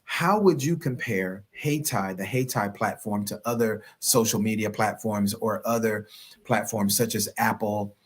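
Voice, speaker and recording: A man's voice: on the low side, unhurried, breathy edge. A man hosting a podcast interview, asking questions and responding enthusiastically. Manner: enthusiastic, affirming. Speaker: podcast host.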